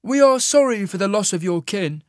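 A man voicing a commanding, calm immortal adjudicator in a fantasy audiobook, with measured, formal speech. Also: high voice, no room sound, clean studio sound, pitched above the performer's own voice. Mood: solemn questioning.

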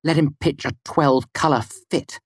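A fierce, proud little woman acted by a male audiobook narrator, speaking in a raised register. She is curt and sarcastic, with a foul mouth and a sharp edge. The recording is close and dry. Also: on the high side, normal speed, breathy edge, no echo, pitched above the performer's own voice. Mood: scornful. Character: sardonic, blunt, foul-mouthed small woman with fierce pride. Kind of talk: audiobook character dialogue.

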